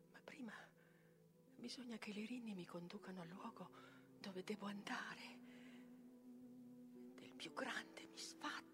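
A woman playing a vengeful, malevolent sorceress mother in a theatrical tragic monologue, with a declamatory delivery that moves between fierce cries and breathy whispers. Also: fairly low pitch. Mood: weary, dark. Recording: music behind the voice.

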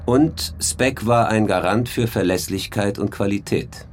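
A man's voice: fairly low pitch, measured pace, close to an everyday voice. An actor playing an unapologetic male football club boss in a crime radio drama, controlled, self-assured and matter-of-fact. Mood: cool, confiding.

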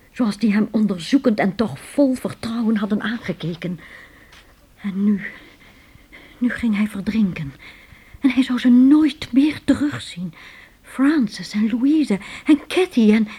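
A woman narrating a radio play slowly, in a breathy voice with a lively, rising and falling pitch. She tells it dramatically and often sinks into a hushed whisper.

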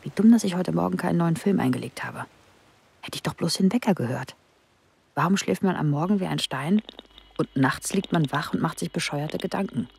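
A young woman confides her thoughts in a radio play, wry and unsure of life. Her voice has noticeable creak and sits close, like private thinking. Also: fairly low pitch, brisk, a little mellow, full character voice. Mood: musing, self-reproachful. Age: young adult. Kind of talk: radio play acting, intimate inner monologue.

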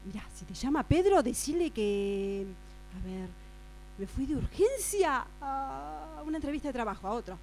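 A woman acting a gossipy friend confiding secrets in a hushed, urgent way with an Argentine accent, in an improvised radio drama. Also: high-pitched, big pitch swings, moderate pace. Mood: secretive, excited. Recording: mains hum noise.

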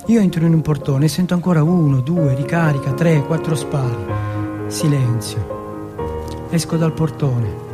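A man's voice: fairly high pitch, a little slow, over loud music. A man from Turin performing a first-person dramatic monologue in short, blunt, colloquial sentences with a streetwise manner. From Turin, Italy.

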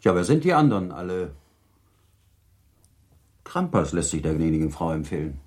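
An actor playing a middle-aged, dryly teasing official and husband in a conversational German radio drama. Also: male voice, deep voice, big pitch swings, normal speed, a little mellow. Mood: dry, inquiring.

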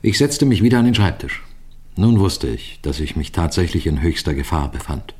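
Low-voiced, theatrical, wily police detective. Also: male voice, lively intonation, moderate pace, a little mellow. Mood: tense, measured. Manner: theatrical, courteous, teasing. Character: wily, composed male police detective telling his own case. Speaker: narrator and lead actor. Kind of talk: old-fashioned German radio crime play acting.

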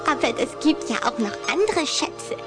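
A woman's voice: high-pitched, very animated, moderate pace, over loud music. A woman playing a lovestruck young vampire girl in a German children's audio drama, coquettish, proud and emphatic. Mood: playful, delighted. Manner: girlish, coquettish, emphatic delivery.

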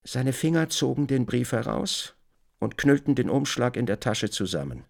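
Man narrating a literary radio play, creaky voice, really varied pitch, expressive storytelling. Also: normal speed.

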